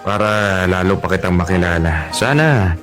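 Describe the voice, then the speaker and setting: A shy middle-aged Filipino single dad played by a male actor, low-voiced and very animated. He stumbles and apologizes in a flustered radio drama manner, with music underneath. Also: moderate pace. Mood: flustered, surprised. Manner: hesitant, apologetic, chuckling. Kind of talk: Tagalog radio drama acting.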